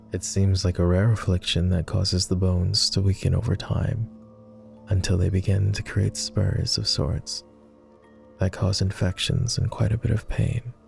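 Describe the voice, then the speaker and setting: Male vampire companion, acted by a man, roleplay ASMR: earnest, formal, weary, with a dark mellow tone and very unhurried delivery. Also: deep voice, vocal fry, full character voice. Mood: gentle, sorrowful. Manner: tender, earnest, hesitant, somewhat formal speech. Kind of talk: intimate roleplay ASMR voice acting.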